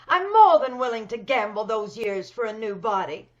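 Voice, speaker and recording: Woman acting a cold, determined scheming woman, high-voiced. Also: big pitch swings, moderate pace. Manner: cold, insistent, commanding. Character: wealthy, determined, bitter ugly woman scheming for a new body. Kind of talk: old-time radio drama acting. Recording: dull video-call audio.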